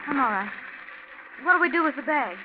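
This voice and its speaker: A woman's voice: high voice, expressive, normal speed. An actress playing an anxious, uneasy woman traveler stranded at night, hesitant and theatrical in 1940s radio style. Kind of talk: 1940s radio drama acting. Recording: muffled, very noisy 1940s radio recording.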